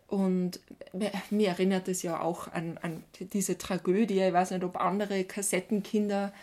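A woman's voice: medium pitch, level tone, normal speed, a little mellow. A woman with an Austrian accent chatting casually as a podcast co-host.

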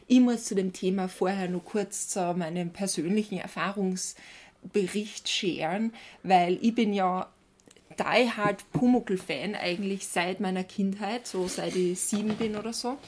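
A woman's voice: mid-range voice, a little slow, darkish tone. A woman with an Austro-Bavarian accent co-hosting a podcast in a casual, enthusiastic, exclaiming chat. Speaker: podcast co-host.

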